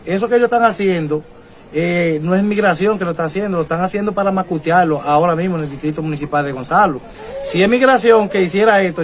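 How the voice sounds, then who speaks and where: A man, a council president speaking slowly and haltingly in a public statement. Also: sits high, a little hiss or hum. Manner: slow, halting, with fillers.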